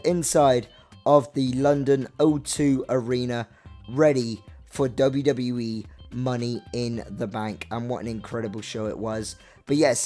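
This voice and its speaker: A young man with a slightly croaky, mellow voice chats his way through a game walkthrough. He rambles loosely, piling on "like" and "so". Faint music plays softly underneath. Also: mid-range voice. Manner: chatty, rambling, lots of "like" and "so". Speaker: Minecraft builder showing his map. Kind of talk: casual walkthrough narration.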